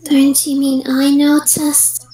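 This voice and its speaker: A woman playing a small, quiet, deadpan little sister in an amateur anime fandub reading. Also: sits high. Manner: slow, flat, deadpan delivery. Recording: echoey home stream with faint noise.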